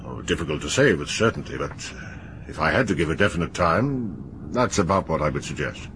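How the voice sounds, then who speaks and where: An actor playing a calm country doctor who speaks in a clipped, matter-of-fact way in a radio drama. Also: male voice, a bass voice, a little fast, background noise. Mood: clinical. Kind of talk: 1980s BBC radio drama acting.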